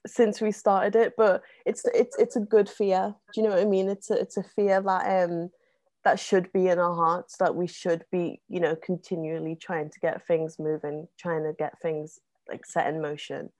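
A woman, a guest on a casual podcast, talking with many ums and likes and getting animated in bursts. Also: lowish voice, normal speed, no room sound. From North West England. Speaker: interview guest, zine co-founder.

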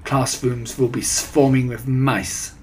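Guy playing a nasty old witch, bossy and gloating, husky, draws her words out, storybook villain. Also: mid-range voice, level tone, slow pace. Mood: gloating, triumphant. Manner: broken foreign-sounding grammar, commanding, declamatory. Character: disgusting old Grand High Witch, gloating, imperious child-hater. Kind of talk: storybook character voice.

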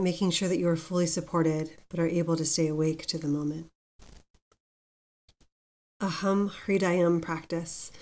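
A woman guiding a meditation as host, speaking gently and without hurry, leaving pauses between instructions. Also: fairly low pitch. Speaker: meditation guide, podcast host. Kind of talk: guided meditation with mantra chanting.

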